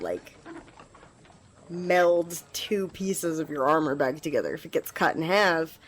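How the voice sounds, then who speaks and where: A woman's voice: on the low side, a bit bright, a little hiss or hum. A woman playing an eager, apologetic young squire in an ASMR fantasy roleplay, rambling and stammering as she speaks to the listener. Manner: rambling, hesitant, stammering, giggly. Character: eager, flustered young female magic-wielding squire, apologetic.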